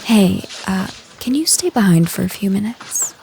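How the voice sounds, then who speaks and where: A woman playing a stern, frustrated office boss in a scripted audio roleplay, speaking to an employee in a firm, measured, exasperated way. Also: medium pitch, animated, gravelly, heavy background noise. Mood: guarded, softer. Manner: firm, exasperated, measured managerial delivery with sighs.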